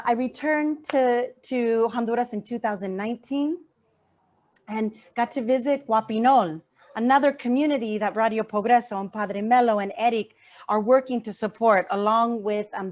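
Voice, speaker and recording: A woman's voice: on the high side, a little mellow. A woman speaks as an activist at an online event. She is earnest and heartfelt, repeating key phrases for emphasis. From San Francisco Bay Area, USA.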